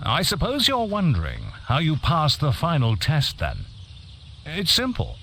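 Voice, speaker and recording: An actor playing a stern, coolly superior wizard mentor in a fantasy video game, formal and commanding. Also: male voice, on the high side, huge pitch swings, measured pace, sharp tone, a little hiss or hum, heavily acted. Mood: stern, measured.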